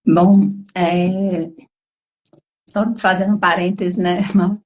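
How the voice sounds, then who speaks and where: A woman psychologist with a Brazilian Portuguese accent, hoarse from a cold, explaining at length in a live online conversation and tagging her sentences with questions. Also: on the low side, little pitch movement, slow, darkish tone, poor audio. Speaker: psychologist guest.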